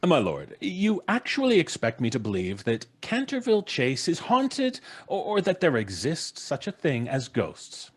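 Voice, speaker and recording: Boastful American tycoon, played by a man, radio drama. Emphatic, declamatory, pitched up. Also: huge pitch swings, unhurried, hardly any pauses, slightly rough, exaggerated voice. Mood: incredulous. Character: brash, boastful, sceptical rich American businessman. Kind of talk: old-time radio play acting. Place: home studio, video call.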